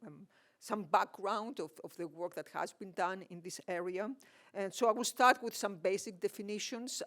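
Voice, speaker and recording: A man with a Greek accent giving an academic keynote at a conference, with lots of fillers and restarts. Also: high voice. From Greece. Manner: hesitant, many fillers and restarts. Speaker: keynote speaker, economist. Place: conference hall.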